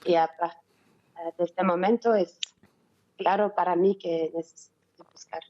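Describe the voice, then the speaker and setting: A woman in her thirties from Belgium, a remote interview guest, speaking haltingly in simple phrases and repeating words. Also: on the low side, steady tone, lo-fi sound. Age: 30s.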